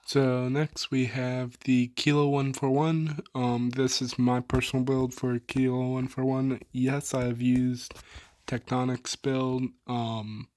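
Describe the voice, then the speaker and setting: Young man, hoarse and soft-spoken, slow unhurried delivery, flat tone, dry close sound. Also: mid-range voice, no room sound. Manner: laid-back, filler-heavy, trailing off. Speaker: gaming YouTuber. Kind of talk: casual weapon build rundown.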